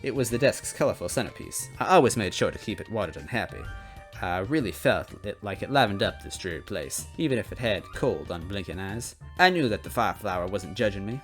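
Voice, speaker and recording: A man's voice: fairly low pitch, lively intonation, a little fast, faint music behind. A man hosting a semi-dramatic reading of a noir detective story, stumbling over lines now and then. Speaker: story reader and host. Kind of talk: semi-dramatic noir narration with casual asides.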